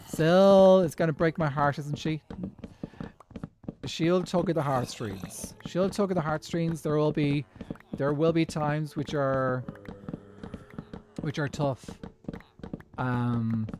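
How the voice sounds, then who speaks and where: A man's voice: medium pitch, background noise. A young Irish man chatting casually and at length while he plays a game, answering chat as he goes. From Ireland. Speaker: streamer. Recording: faint music in background. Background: faint background music.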